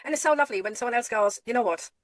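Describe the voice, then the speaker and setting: A woman's voice: a little high, racing, no room sound. Woman, emphatic and encouraging. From Germany. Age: middle-aged. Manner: encouraging, emphatic, repeats herself for stress. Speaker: guest. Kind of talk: earnest advice in conversation.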